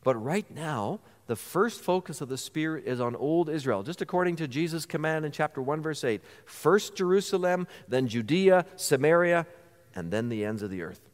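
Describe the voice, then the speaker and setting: A man's voice: fairly high pitch, animated, moderate pace. Preaching man in a reverberant church. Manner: earnest preaching, rhetorical questions. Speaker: pastor. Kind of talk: sermon. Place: church sanctuary.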